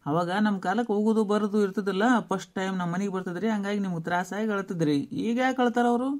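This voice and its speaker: A woman with a North Karnataka accent playing a blunt, exasperated middle-aged relative in a family skit, brisk and scolding. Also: mid-range voice, a little mellow, lightly acted.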